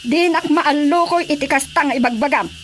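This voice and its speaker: A woman's voice: high-pitched, moderate pace, close to an everyday voice. A woman acting a stern, complaining lady of the house in a radio drama.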